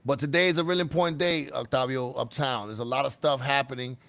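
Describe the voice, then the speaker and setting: A man co-hosting a community talk show, talking loosely and emphatically with the odd swear word. His voice sits at a middle pitch. The recording is dry and close, with no room sound. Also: fairly even intonation, fast talker, gravelly, a bit bright, no echo. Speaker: podcast co-host.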